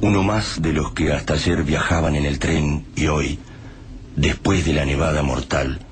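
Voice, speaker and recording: Deep-voiced Argentine male narrator. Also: steady tone, unhurried, slight background noise. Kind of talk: scripted radio drama narration. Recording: music bed behind narration.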